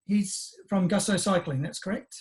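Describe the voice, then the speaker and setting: A man's voice: high voice, moderate pace, a little mellow. A man hosting a casual interview, hesitant and full of fillers.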